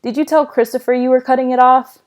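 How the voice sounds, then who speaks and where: An indignant older sister from a storybook acted out by a woman reader. She sounds snotty and accusing, with her voice pushed higher. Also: fairly even intonation, quick pace, darkish tone, close to an everyday voice.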